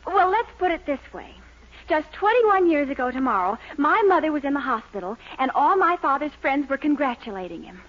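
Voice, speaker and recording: A woman's voice: high-pitched, sing-song, brisk, fairly bright tone, highs cut off. An actress playing a young woman who coaxes and hints at her boyfriend, with theatrical sighs, in 1940s radio sitcom acting. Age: young adult. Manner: coaxing, hinting, dramatic sighs. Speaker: girlfriend in a sitcom.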